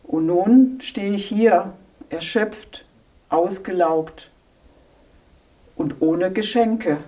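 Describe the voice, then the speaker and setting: Exhausted wise traveler, played by a woman: mellow, slow, soft-spoken. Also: mid-range voice. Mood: weary. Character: weary wise traveler, a cook who gave everything. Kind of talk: amateur church nativity play.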